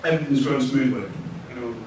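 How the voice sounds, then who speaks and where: A man in his thirties with a Lancashire accent, giving a relaxed, chatty tech talk to an audience, pausing often with ums. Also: mid-range voice. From Lancashire, England. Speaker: conference speaker. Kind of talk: tech meetup presentation. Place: event room with audience. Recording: echoey room, distant mic, noisy.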